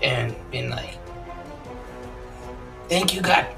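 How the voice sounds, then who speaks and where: A man's voice: mid-range voice, animated, drawn-out words, vocal fry, bright, forceful tone, faint music behind. A man playing a tabletop game, joking and bantering with the table. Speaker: player. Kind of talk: casual tabletop play.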